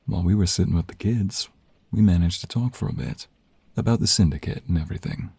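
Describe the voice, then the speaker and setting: A man performing an ASMR romantic roleplay as an affectionate, teasing mobster husband and young father, in a breathy, husky voice with intimate, drawn-out delivery. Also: low-pitched, darkish tone, dry recording, exaggerated voice. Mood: warm, reflective. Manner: intimate, drawn-out, playful delivery with sighs and murmurs.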